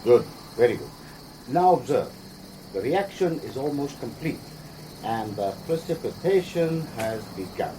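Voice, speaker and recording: An actor playing a proud, pedantic Palestinian chemist who lectures precisely and formally in a radio drama. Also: male voice, mid-range voice, very animated, a little slow, noisy background. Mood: didactic. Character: pedantic Palestinian chemist secretly teaching bomb-making, proud.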